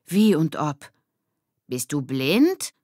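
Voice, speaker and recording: A woman voicing spirited schoolgirls from a children's audiobook, animated and teasing. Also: deep voice, drawn-out words, no room sound, studio quality, exaggerated voice. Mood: excited, challenging. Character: spirited, cheeky schoolgirls in a gang.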